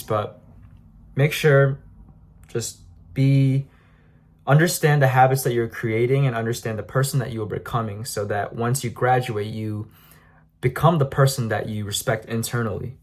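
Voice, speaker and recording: A young man in his early twenties talks straight to the camera in a loose, reflective way. He rambles, stumbles over his words, and fills his pauses with lots of "um"s. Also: mid-range voice, a little mellow. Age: 20s. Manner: rambling with many "um"s and restarts, self-aware and laughing at himself. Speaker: vlogger. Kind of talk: casual talking-to-camera reflection.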